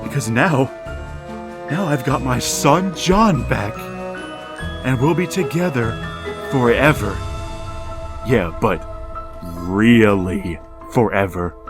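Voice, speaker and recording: An actor playing an aging father in a wheelchair, narrating a radio horror drama in a slow, halting, hushed manner. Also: mid-range voice, lively intonation. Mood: eerie glee. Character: older man father in a wheelchair, haunted, resigned narrator. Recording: music bed under the voice.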